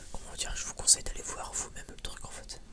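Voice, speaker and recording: An ASMR creator whispering in a breathy, unvoiced voice, rambling informally and hesitantly as if chatting to viewers. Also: fast talker.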